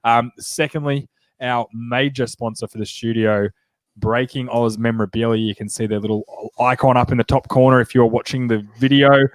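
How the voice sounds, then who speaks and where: A man's voice: medium pitch, fairly even intonation, no echo. A man in his thirties with an Australian accent, hosting a casual sports podcast, sounding nasal from a cold. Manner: chatty and upbeat, quick asides. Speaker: podcast host.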